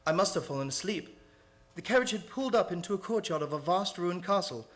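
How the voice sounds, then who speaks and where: Male actor as anxious captive gentleman, high male voice, earnest, dramatic, formal, radio horror play. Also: lively intonation, a little fast. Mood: uneasy, grave. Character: anxious English gentleman, prisoner narrating his diary. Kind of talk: old-time radio horror play acting.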